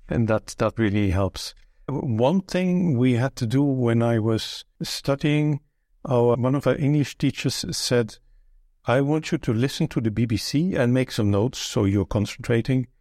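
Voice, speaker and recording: A man's voice: medium pitch, darkish tone, no echo, clean studio sound. An older man from Belgium whose first language is Dutch, recalling his career thoughtfully as an interview guest with frequent restarts. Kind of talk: relaxed conversational interview.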